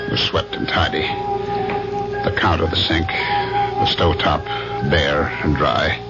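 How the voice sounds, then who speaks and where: A brooding, suspicious husband narrates his troubles in a very deep voice. His delivery is deliberate and dramatic, in the manner of a 1970s radio mystery. The recording is dull, with prominent music playing underneath. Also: wildly swooping pitch, full character voice. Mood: brooding, tense.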